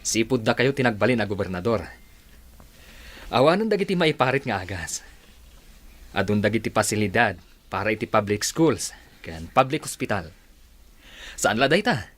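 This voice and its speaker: A man acting a respectful, admiring attorney and adviser in a radio drama, expressive and deferential. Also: on the low side, moderate pace, pauses often.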